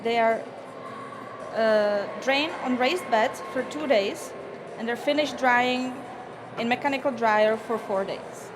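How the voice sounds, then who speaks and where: A woman with a Czech accent, a native Czech speaker, giving a careful, rehearsed barista competition presentation on stage. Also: fairly high pitch. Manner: measured, careful, formal. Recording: noisy event venue ambience.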